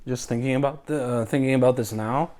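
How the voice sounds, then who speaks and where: A young man co-hosts a podcast in an easygoing, joking way. His pitch is average for a man. He talks casually, in conversational bits and short interjections. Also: brisk, darkish tone. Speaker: podcast co-host. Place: apartment room, indoors. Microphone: individual microphone.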